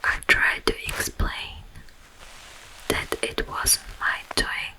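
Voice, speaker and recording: An ASMR performer voicing a sleep paralysis demon who was once a monk, telling its grim past in breathy, drawn-out whispered phrases with long pauses. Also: close to an everyday voice, low-quality recording. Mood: grim, hushed. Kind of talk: whispered ASMR roleplay storytelling. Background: music.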